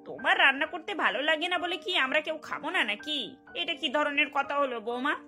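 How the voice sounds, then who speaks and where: A woman's voice: high-pitched, expressive, fairly quick, bright, forceful tone, soft background music. A woman voicing a bossy, demanding middle-aged mother-in-law in a cartoon, with a scolding, domineering manner. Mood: stern, scolding. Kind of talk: Bengali cartoon voice acting.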